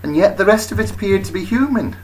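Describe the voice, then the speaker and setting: A man narrating a comic first-person story as a storyteller, expressive, with wry, deadpan understatement. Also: high voice, fast, poor audio.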